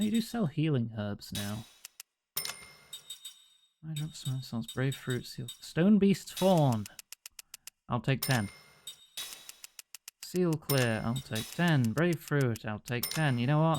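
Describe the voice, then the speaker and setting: A man's voice: medium pitch, vocal fry, a little mellow, a little hiss or hum. Chatty man streaming a game. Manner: joking, chatty, thinks aloud. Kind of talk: casual let's-play commentary. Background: game music.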